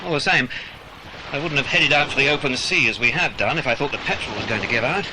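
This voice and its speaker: A man with a British accent playing a brisk, hearty, avuncular agent in a children's audio adventure, speaking with jovial old-fashioned turns of phrase. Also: medium pitch, natural voice. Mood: reassuring. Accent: British English. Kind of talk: dramatised children's audiobook acting. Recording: noisy dramatised audio with effects.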